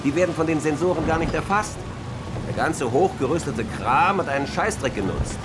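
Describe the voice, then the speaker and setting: An actor playing a disillusioned, sardonic military officer in a horror radio drama, speaking bluntly. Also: male voice, on the high side, lively intonation, brisk, very noisy, natural voice. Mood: blunt, bitter. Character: bitter, disillusioned male military officer, survivor of undead outbreak. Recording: loud music bed behind the voice.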